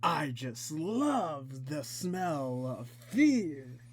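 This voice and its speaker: A man voicing a taunting, menacing film villain in a slow, deliberate, drawn-out way. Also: on the high side, big pitch swings, husky, full character voice.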